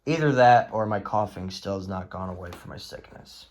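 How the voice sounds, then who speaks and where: A man talking casually in a halting, rambling way as he presents his collection in a vlog. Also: low voice, darkish tone. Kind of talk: casual show-and-tell vlog.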